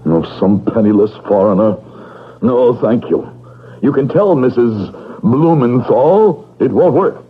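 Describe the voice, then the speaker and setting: A man's voice: mid-range voice, lively intonation, unhurried, husky. An actor playing a stubborn, bitter, wealthy old man in a radio drama, curt and scornful. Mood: cold, scornful. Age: older. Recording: tinny old radio broadcast.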